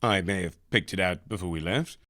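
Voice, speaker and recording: A man's voice: low voice, fairly quick, a bit bright, voice pushed down. Samson, a man voicing a pompous astronaut leader. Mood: sheepish. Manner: grandiose, intoning. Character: pompous, cheerily confident male astronaut leader, posh-schooled.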